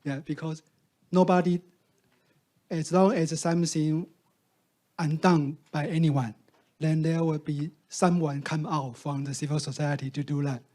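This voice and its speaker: A man, Mandarin speaker, answering on a discussion panel. His voice sits a little high but sounds dark and mellow, and he talks slowly. He pauses often, restarting sentences and filling gaps with "uh". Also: fairly even intonation. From Taiwan. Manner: halting, many fillers and restarts. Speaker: panelist from a fact-checking group.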